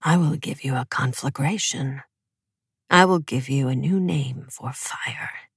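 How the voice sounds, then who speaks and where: A woman's voice: lowish voice, unhurried, slightly rough, no echo, studio quality. A woman voicing a ruthless male preacher from a storybook, declaiming firm and commanding.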